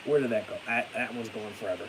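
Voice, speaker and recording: A young man chatting on a live stream with a stuffy nose and a fading voice, ranting excitably and then trailing off into tired mumbles. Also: lowish voice, fast talker. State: stuffy-nosed, losing his voice. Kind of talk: casual live stream chat. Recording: hissy home stream mic.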